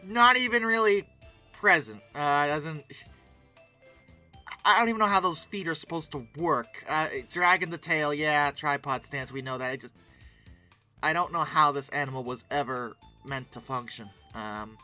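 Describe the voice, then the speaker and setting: An expressive, emphatic host giving casual reaction commentary, often breaking into exclamations. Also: mid-range voice, moderate pace, soft background music. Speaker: host of a paleoart commentary channel.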